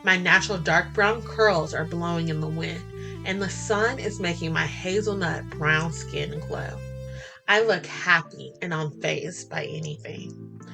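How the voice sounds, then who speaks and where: A woman narrating a first-person romance story in an expressive, flirty and sassy way. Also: lowish voice, unhurried, creaky. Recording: music bed under the voice. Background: music.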